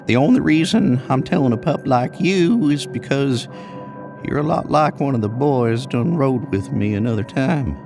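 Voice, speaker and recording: A man's voice: medium pitch, normal speed, creaky, music in the background, close to an everyday voice. A man voicing an older, rambling American cowboy in an audio horror story, with a folksy, drawling manner. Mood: patient, mysterious. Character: older rambling cowboy spinning a spooky trail tale.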